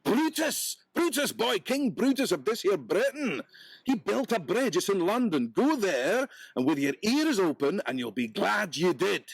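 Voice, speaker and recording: A man voicing a dreamy talking deer spirit from a storybook tale, huffy and rambling, quick to take offence. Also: sits high, big pitch swings, vocal fry, husky, no room sound, voice pushed up, full character voice. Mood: exasperated.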